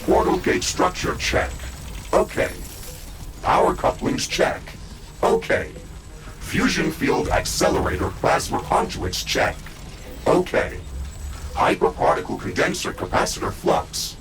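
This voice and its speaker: An actor playing a calm, matter-of-fact male AI of a mecha suit in a sci-fi game, with clipped, formal technical reporting and a synthetic delivery. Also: a little high, unhurried, gravelly, music in the background, full character voice, rough recording. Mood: procedural, flat report. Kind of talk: scripted sci-fi game dialogue.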